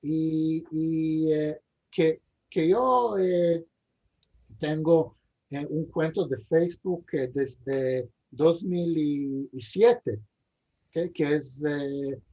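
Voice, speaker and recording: A man being interviewed over a video call, speaking haltingly with many pauses, fillers and repeated words. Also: a little high, mellow. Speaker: interview guest. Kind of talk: hesitant conversational interview. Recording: dull lo-fi video call audio.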